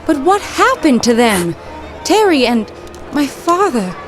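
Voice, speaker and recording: An actress playing a plucky, practical young woman from the 1930s in a comic audio drama, brisk and matter-of-fact. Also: high voice, big pitch swings, music in the background. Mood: puzzled. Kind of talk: period audio drama comedy acting.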